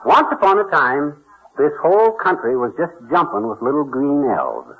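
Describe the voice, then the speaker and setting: A man's voice: a little high, sing-song, moderate pace, slightly rough, exaggerated voice. A man playing a folksy, blustery know-it-all husband in an old radio comedy, explaining things in homespun, ungrammatical talk. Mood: storytelling. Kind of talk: 1940s-50s radio comedy acting. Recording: dull old radio recording, faint noise.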